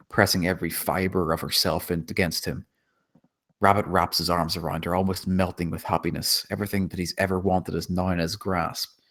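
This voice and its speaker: A man's voice: sits low, a bit bright. A man narrating a screenplay, reading out stage directions at a table read.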